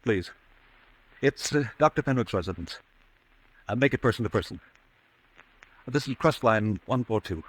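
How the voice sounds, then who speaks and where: An actor playing a tormented, halting and agitated man who doubts his own sanity, in old-time radio suspense style. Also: medium pitch, animated, husky, low-quality recording. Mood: strained, distracted.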